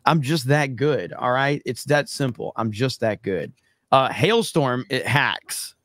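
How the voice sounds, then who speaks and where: A man in his thirties hosts a livestream from his home setup, voice worn hoarse. He rambles with restarts and laughs through his stories. The sound is close and dry, like a desk mic. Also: medium pitch, measured pace, no echo. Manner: animated storyteller, laughs often, rambling restarts. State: losing his voice. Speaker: show host. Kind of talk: casual livestream chat. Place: home streaming room with purple lights. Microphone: studio mic on arm, webcam.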